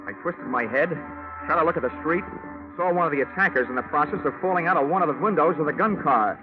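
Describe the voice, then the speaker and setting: An actor playing a wisecracking American insurance investigator, sardonic and clipped, in 1940s radio drama style. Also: male voice, on the high side, fast, loud music behind the voice, close to an everyday voice. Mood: wry narrating. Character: wisecracking, hard-boiled male insurance investigator. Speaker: lead actor and narrator in a radio detective serial. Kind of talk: 1940s American radio drama acting, hard-boiled narration. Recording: muffled, narrow-band old radio recording.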